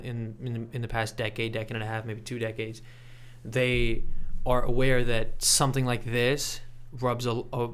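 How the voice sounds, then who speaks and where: A man giving reflective interview commentary as a podcast guest, in a measured, thoughtful and halting way. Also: medium pitch, fairly bright tone, clean tone. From Canada. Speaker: podcast guest, sports reporter.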